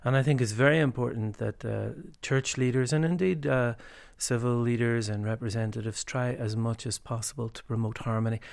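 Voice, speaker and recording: A man from Derry with a Northern Irish accent, an archbishop speaking thoughtfully with frequent pauses and fillers. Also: medium pitch, fairly even intonation, creaky. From Derry, Northern Ireland. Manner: thoughtful, hesitant with many fillers. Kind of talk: conversational joint address.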